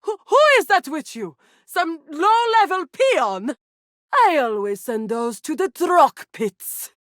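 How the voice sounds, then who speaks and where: A scornful sci-fi villainess for a video game. Her voice is high and unhurried, with big melodramatic swings. She sounds greedy and haughty, recorded very dry. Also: sing-song, fairly bright tone, no room sound, heavily acted. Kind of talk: video game character voice lines.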